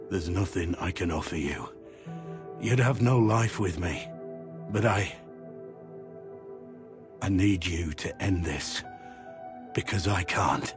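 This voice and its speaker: Here is a brooding, middle-aged game warrior weighed down by guilt. His voice sits deep and moves slowly. He is grave, courteous and formal, halting as he searches for words. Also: music in the background. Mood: anguished, conflicted. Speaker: video game companion character. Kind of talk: dramatic video game dialogue.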